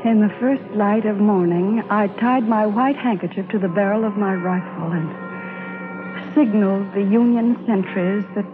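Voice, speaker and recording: Actress as solemn wartime heroine on tinny old radio. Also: female voice, mid-range voice, lightly acted. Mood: anguished, tense. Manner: solemn, dramatic, halting. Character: brave young woman spy narrating her wartime ordeal. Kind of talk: old-time radio drama narration and acting. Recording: thin vintage radio recording, music behind.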